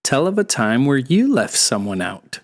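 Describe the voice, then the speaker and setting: A storyteller giving an expressive scripted narration of a folktale for children. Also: medium pitch, moderate pace.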